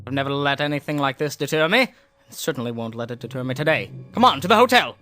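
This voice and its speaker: A man's voice: mid-range voice, expressive, fast talker, pushed and bright, soft background music. A man playing a bold, self-assured young adventurer, brusque and self-important, in an audio drama. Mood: resolute. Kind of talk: amateur audio drama acting.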